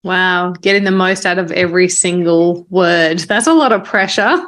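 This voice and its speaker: A woman's voice: lowish voice, moderate pace, fairly bright tone. A woman hosting a podcast interview in a casual, conversational and encouraging way. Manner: encouraging, affirming.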